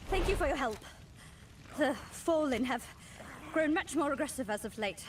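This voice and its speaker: High-voiced plucky young rogue scout. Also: female voice, expressive, moderate pace, recorded from a distance, a little hiss or hum. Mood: grateful, shy. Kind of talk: fantasy game dialogue.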